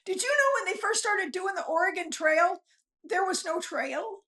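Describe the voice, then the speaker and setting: A woman guest chatting casually and telling stories in an animated, folksy way. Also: very high-pitched, normal speed. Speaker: author guest, novelist. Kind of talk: casual chat, storytelling.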